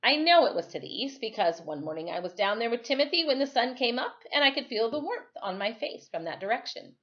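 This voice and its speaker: A woman reading a children's novel aloud like a teacher at storytime, phrasing it expressively and deliberately. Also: on the high side, sing-song, a bit bright, dull audio.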